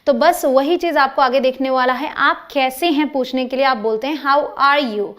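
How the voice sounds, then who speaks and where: A woman's voice: high voice, normal speed, fairly bright tone. A woman in her thirties with an Indian accent, teaching spoken English and drawing out each example phrase. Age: 30s.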